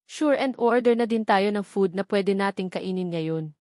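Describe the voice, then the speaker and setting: A woman's voice: mid-range voice, normal speed, darkish tone, natural voice. A friendly, affectionate young guy from a storybook romance, read by a woman. The recording is very dry and close, free of room echo. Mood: friendly, casual. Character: friendly young man, the heroine's affectionate pal. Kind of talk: scripted novel dialogue.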